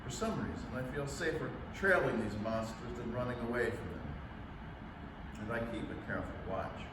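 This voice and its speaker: A man acts out a haunted astronomy professor, the lone survivor of an invasion, telling his tale in a solemn, deliberate radio-play monologue. The voice is of middling pitch and sits far off in a very echoey, noisy room. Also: animated, close to an everyday voice. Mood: solemn, wary. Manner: solemn, deliberate, halting narration. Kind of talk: dramatic staged reading of 1938 radio monologue. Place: public library event room, live staged reading.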